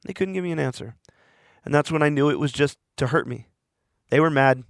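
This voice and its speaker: A man's voice: on the high side, a little fast, gravelly, dry recording, studio quality. A man hosting a podcast, talking alone in a rambling, earnest way while he is losing his voice. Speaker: podcast host. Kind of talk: reflective solo monologue.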